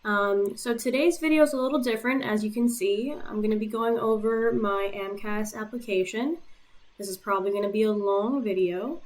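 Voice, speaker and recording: A young woman from Puerto Rico, a native Spanish speaker, talks her viewers through her own application as a vlogger. Her delivery is relaxed and matter-of-fact, with plenty of "um" fillers between points. Her voice sits at an ordinary middle pitch. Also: moderate pace, poor audio. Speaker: YouTuber and medical school applicant.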